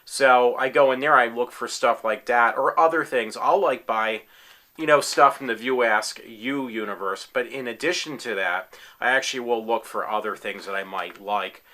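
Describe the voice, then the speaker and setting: Man in his forties, bright voice, eager, rambling, lots of ums, home room. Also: medium pitch, normal speed. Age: 40s. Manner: rambling, many fillers and restarts; enthusiastic fan. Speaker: applicant in a tryout video. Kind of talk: casual self-recorded talk.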